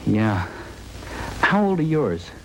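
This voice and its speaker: A young expectant husband in 1950s radio drama, fretful and folksy. His fairly low voice is hesitant, on an old radio recording with light noise. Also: big pitch swings, slow, heavily acted, poor audio. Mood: anxious, hopeful. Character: anxious young husband awaiting his wife's childbirth.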